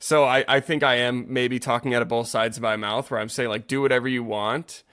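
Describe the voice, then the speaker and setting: A man's voice: medium pitch, fairly even intonation, a little fast, sharp tone. Earnest man chatting on a casual podcast. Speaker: yoga teacher and podcast co-host. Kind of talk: casual conversational podcast chat.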